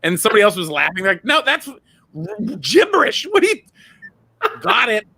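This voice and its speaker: A male comedian hosting a casual live-stream chat, animated and laughing often as he tells stories. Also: sits high. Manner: animated, laughs often, quick bursts of storytelling. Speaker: comedian host; father.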